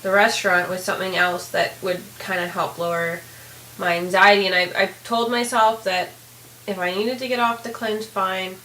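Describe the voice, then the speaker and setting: A woman with a hoarse, raspy voice giving a candid, rambling video diary update, full of fillers. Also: mid-range voice, fairly even intonation, moderate pace, pushed and bright, reverberant, slight background noise, low-quality recording. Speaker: vlogger.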